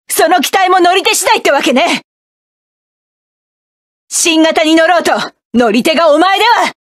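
A woman's voice: very high-pitched, no room sound, studio quality. A woman voicing a proud, commanding adult mecha pilot in a game, barking orders and sneering at enemies. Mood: cold contempt. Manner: sharp commanding battle shouts, cool haughty taunts. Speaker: voice actress for a game character. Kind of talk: game battle voice lines.

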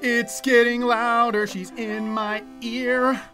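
A young man livestreaming a horror game, chatting to viewers with jokey, excitable, crude swearing. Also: very high voice, slow. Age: young adult. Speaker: game streamer. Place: small dim room indoors at home. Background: horror game music.

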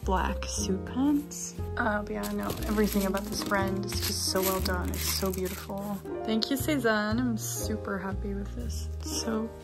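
Young Dutch woman vlogging over loud music. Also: mid-range voice, fairly even intonation, normal speed, few pauses. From Netherlands. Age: 20s. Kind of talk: casual vlog chat.

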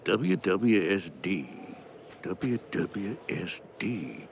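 Drawling, wildly swooping cartoon rooster. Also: male voice, sits high, wildly swooping pitch, slow pace, bright, forceful tone, slight background noise, full character voice. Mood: pondering, hopeful. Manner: drawling, emphatic, repeats "I say". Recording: music behind the voice.

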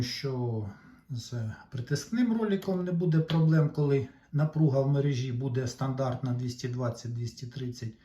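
A man giving an informal, unscripted repair walkthrough as a hobbyist, explaining haltingly and pausing mid-sentence. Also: mid-range voice, dark tone, slight background noise. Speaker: repair hobbyist presenting a demonstration. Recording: dull lo-fi sound.

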